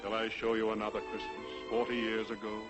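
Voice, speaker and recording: An actor playing a solemn, stern spirit with a grave, measured, formal delivery in 1940s television drama. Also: male voice, fairly low pitch, completely flat delivery, lightly acted. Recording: old 1940s television soundtrack, loud music behind.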